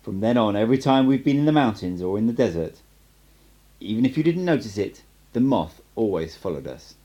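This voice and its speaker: A man reading as cryptic sorcerer mentor, low, animated. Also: muffled recording. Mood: solemn, emphatic. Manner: emphatic, measured, instructive. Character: assured male sorcerer mentor, patient and cryptic.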